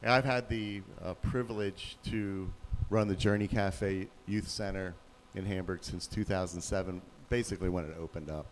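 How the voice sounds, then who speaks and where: A man with a very low voice, a youth pastor, telling his story in a relaxed, plain-spoken way in a church. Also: normal speed, a little hiss or hum, poor audio. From Pennsylvania, USA.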